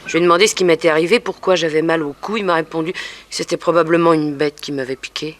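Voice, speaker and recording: An actress playing a young, submissive streetwalker in a 1960s radio drama, resigned and plaintive. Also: female voice, low-pitched, fairly even intonation, quick pace, natural voice. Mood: resigned, uneasy. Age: young adult. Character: weary, frightened, submissive young streetwalker under a pimp's hold. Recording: faintly noisy old radio recording.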